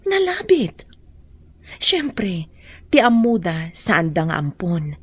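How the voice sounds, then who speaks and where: Husky, concerned woman, dry studio. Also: on the low side, wildly swooping pitch, slow pace, no echo, full character voice. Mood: cautious, earnest. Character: concerned, cautious woman, protective confidante of a sick friend. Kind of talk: Ilocano radio drama acting.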